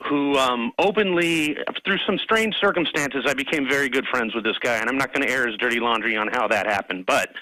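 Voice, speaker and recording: A man's voice: medium pitch, bright, forceful tone, no room sound, rough recording. A man calling into a radio show, talking in a conversational, slightly hesitant way with frequent ums. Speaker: caller.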